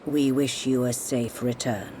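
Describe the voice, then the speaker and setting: A man's voice: steady tone, darkish tone, slight background noise, natural voice. A man playing a solemn, sinister priest of an imperial court in a video game, speaking ceremoniously. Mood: solemn, ominous. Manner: formal, ceremonious, deliberate. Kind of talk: video game cutscene acting. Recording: rumbling ambience behind voice.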